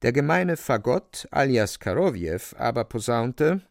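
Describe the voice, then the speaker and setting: A male radio-play narrator with a gravelly, very lively delivery. He tells the story wryly and with relish, close and dry. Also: medium pitch, measured pace, no echo.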